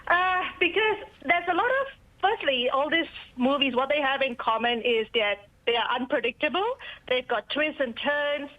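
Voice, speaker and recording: A woman from Malaysia answering relaxed questions as a guest on a news program. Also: high voice, muffled recording. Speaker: guest commentator. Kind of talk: live news interview discussion.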